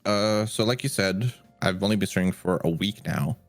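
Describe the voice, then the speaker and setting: A man with a very low voice co-hosting a casual podcast, recorded dry with no room sound. He talks in a measured, agreeable way and walks through his thoughts step by step. Faint music plays underneath. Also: no echo. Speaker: podcast co-host. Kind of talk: casual chat.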